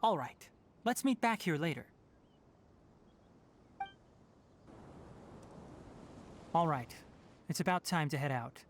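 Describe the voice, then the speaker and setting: A man voicing a calm, principled young male forest ranger and scholar in a video game, speaking in a measured, thoughtful way. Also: on the high side, slight background noise. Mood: commanding.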